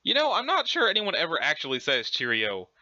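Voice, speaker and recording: A man's voice: fairly high pitch, huge pitch swings, a little fast, bright, forceful tone, no echo, muffled recording, exaggerated voice. A man voicing a blunt, sarcastic, impatient young human with a dismissive manner in a casual webcomic reading. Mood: deadpan.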